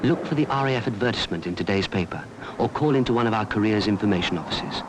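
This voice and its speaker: A British man announces an old recruitment advert. His voice is fairly low, set in very loud rumbling noise. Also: animated, quick pace. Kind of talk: 1970s recruitment advert voice-over. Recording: very noisy old advert soundtrack.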